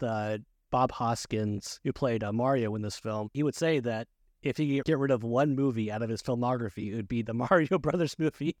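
A man's voice: mid-range voice, darkish tone, dry recording, clean studio sound. A man co-hosting a casual chat about films, often chuckling as he talks. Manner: chuckles often while talking. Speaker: podcast co-host.

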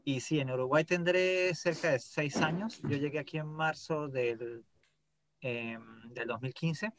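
A man's voice: on the high side, unhurried, fairly bright tone, a little hiss or hum. A man from Venezuela with a Venezuelan accent, a guest on a podcast chatting casually and hesitantly, often repeating words. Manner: hesitant, many "este" fillers and repeats. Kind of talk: casual interview chat.

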